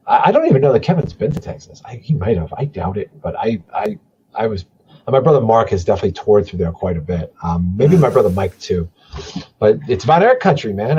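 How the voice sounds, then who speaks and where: A man in his forties, a podcast guest, chatting casually with a voice that is going hoarse from a cold, swearing freely and rambling with lots of restarts. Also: lowish voice, a little mellow, highs cut off. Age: 40s. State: losing his voice, starting a cold. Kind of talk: casual chat.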